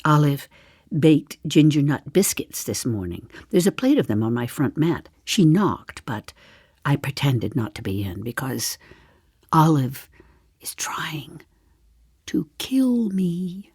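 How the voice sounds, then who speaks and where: An actress in her seventies playing a darkly comic old woman in an audio drama monologue, confiding in a deliberate way with dry understatement. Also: low voice, big pitch swings, a little mellow, slightly rough, dry recording, exaggerated voice. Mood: confiding, ominous. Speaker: actor in a one-woman play.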